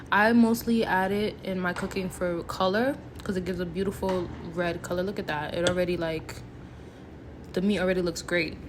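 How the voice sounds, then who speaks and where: A woman's voice: medium pitch, a little hiss or hum. A woman giving a casual, playful cooking tutorial, chatting to viewers informally as if they were friends. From Haitian background. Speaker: home cook, recipe vlogger.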